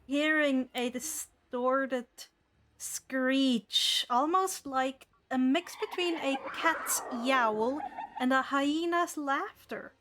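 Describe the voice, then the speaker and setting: A woman's voice: high-pitched, extremely slow, a bit bright. A woman narrating a tabletop game as game master, telling the story dramatically in the second person. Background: background music.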